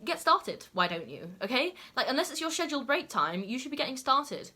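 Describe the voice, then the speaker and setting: Bright-voiced young woman vlogging chattily. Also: sits high, sing-song, brisk. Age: young adult. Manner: chatty, many "like" and "okay?" asides. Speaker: lifestyle YouTuber. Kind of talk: casual talk to camera.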